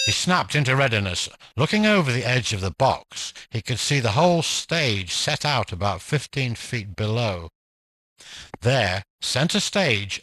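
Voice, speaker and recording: A man narrating a ghost story from a script in a measured, suspenseful, theatrical manner. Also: mid-range voice, a bit bright, no room sound.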